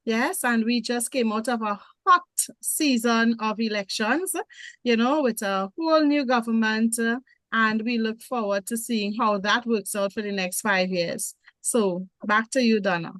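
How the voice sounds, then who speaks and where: A woman's voice: on the high side, unhurried, fairly bright tone, dry recording. A woman in her forties with a Trinidadian accent, a nurse introducing herself as a panel guest in a rambling way with many fillers. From Trinidad and Tobago.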